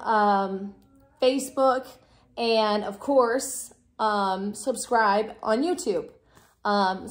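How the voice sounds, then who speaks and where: Woman, 30s, raspy cold-worn voice, slightly high, chatty rambling vlog host, close phone mic at home. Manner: chatty, rambling with many fillers. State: hoarse from a cold, low energy. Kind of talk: casual chat to camera. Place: living room, indoors.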